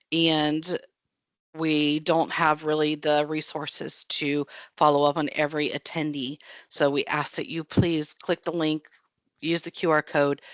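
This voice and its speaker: A woman's voice: low voice, dry recording. An American woman moderating an online webinar, giving friendly, chatty announcements with frequent ums and small restarts. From United States. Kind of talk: casual announcements to an online audience.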